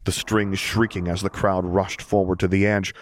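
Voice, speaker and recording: Man, low voice, level intonation, scripted story narration, audiobook reader, noisy recording with clicks. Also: little pitch movement, normal speed.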